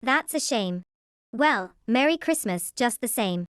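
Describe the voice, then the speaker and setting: Female actor as neighbour girl, polite, cheerful, high clear voice, youthful, audio drama. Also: expressive, measured pace, dry recording, clean studio sound. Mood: friendly, polite. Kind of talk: fan audio drama acting.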